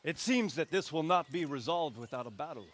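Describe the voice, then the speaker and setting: Nobleman, authoritative, decisive, slightly high pitch. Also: animated, a little fast, fairly bright tone, a little hiss or hum. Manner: authoritative, giving orders. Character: commanding middle-aged lord, decisive. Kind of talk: video game cutscene acting.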